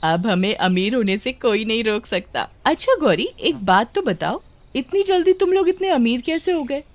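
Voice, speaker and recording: A woman voicing expressive, exclamatory village women in a children's cartoon story. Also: fairly high pitch, normal speed, no room sound. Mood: chatty, curious. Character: village women, eager, chatty and indignant.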